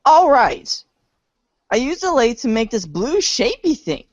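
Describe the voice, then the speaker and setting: A woman voicing an excitable teenage boy hero in a comic storybook reading, theatrical and full of exclamation. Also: a little high, a little slow, no room sound, lo-fi sound. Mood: self-important. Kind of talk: read-along storybook comedy acting.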